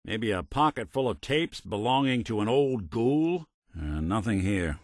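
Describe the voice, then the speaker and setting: An American actor playing a world-weary, gruff but decent synthetic private detective in a noir game, with a dry, sardonic manner. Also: male voice, fairly low pitch, very animated, a little slow, no echo. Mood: dry, determined. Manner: dry, sardonic, old-time gumshoe slang. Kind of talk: noir hard-boiled detective game dialogue.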